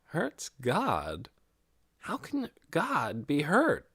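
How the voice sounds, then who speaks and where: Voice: medium pitch, huge pitch swings, very slow, fairly bright tone, breathy edge, pitched above the performer's own voice, heavily acted. A narrator voicing a weary, practical adult woman with a dismissive, matter-of-fact manner in an audiobook. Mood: skeptical. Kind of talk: audiobook character dialogue.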